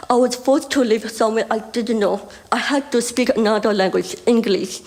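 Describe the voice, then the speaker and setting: A woman's voice: a little high, darkish tone. Young woman, careful hard-of-hearing speech. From Burma. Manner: careful, deliberate delivery with small restarts. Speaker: conference speaker. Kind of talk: prepared speech.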